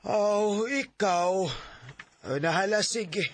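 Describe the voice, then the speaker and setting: An actress with a Philippine Bisaya accent playing a nosy, teasing, husky middle-aged mother in a radio drama. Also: medium pitch, takes it slowly, no echo. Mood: teasing, curious.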